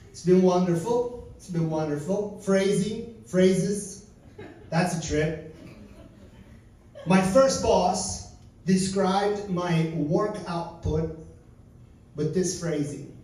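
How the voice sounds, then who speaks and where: Man, high, echoey lounge. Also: dark tone, distant mic. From Turkey. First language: Turkish. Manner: dry, wry storytelling. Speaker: stand-up comedian. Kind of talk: stand-up set. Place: comedy lounge stage. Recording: echoey lounge, mic from afar.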